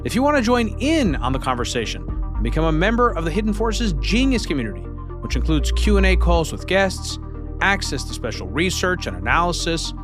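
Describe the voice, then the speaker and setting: A man hosting a podcast interview, asking eager, quick questions with small restarts. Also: on the high side, sing-song, music in the background. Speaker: podcast host.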